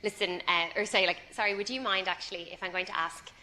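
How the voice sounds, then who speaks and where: A woman's voice: medium pitch, steady tone, quick pace, sharp tone. A woman tutoring medical students through a practical exam demo, chatty and encouraging. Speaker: clinical skills tutor. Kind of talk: hands-on teaching demo.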